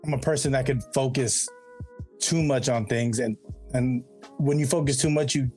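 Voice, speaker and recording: A man's voice: mid-range voice, fairly even intonation, moderate pace, a little mellow, faint music behind. A middle-aged man talking as a podcast guest, laid-back and rambling, full of slang and "you know what I mean". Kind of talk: casual interview chat.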